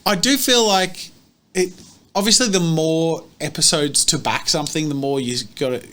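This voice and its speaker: A man's voice: on the high side, normal speed, a bit bright. A man hosting a casual chat, asking questions and wrapping up a session. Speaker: show host.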